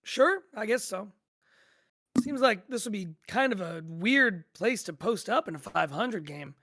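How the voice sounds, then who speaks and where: A woman's voice: medium pitch, animated, unhurried, fairly bright tone. A woman playing a friendly, easily amused drive-thru worker, chatting casually in an audio drama table read. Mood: starstruck.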